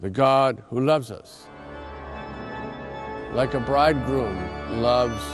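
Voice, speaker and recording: A man in his seventies with an American accent, a priest reading a scripted reflection in a measured, solemn manner with deliberate emphasis. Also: mid-range voice, over music. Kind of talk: scripted reflection read aloud.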